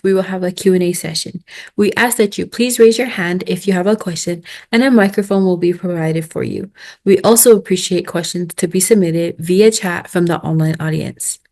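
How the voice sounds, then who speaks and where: Young woman, moderator, lowish voice, steady formal reading. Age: young adult. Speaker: event moderator. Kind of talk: reading prepared remarks.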